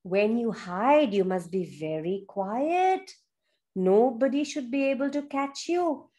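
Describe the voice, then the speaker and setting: A woman's voice: fairly high pitch, lively intonation, slow, dark tone. A woman voicing a playful, boastful male bear from a children's story, calling out in a sing-song way. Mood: bossy, boastful.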